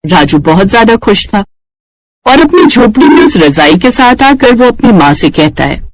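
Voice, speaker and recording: A man's voice: high-pitched, very animated, moderate pace, no echo, soft background music. A man narrating a scripted story as a storyteller.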